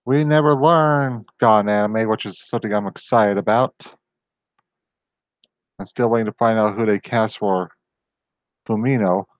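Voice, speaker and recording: A man's voice: on the low side, moderate pace, long pauses, no room sound. A man hosting his own channel, talking through rambling tangents with exasperated complaints. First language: not Japanese. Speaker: video host. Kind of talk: casual solo commentary.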